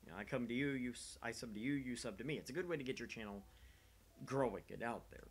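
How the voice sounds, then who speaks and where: A man's voice: medium pitch, animated, fast, breathy edge. Casual, self-deprecating man livestreaming. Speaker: livestream host of a small gaming channel. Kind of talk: casual rambling livestream chat.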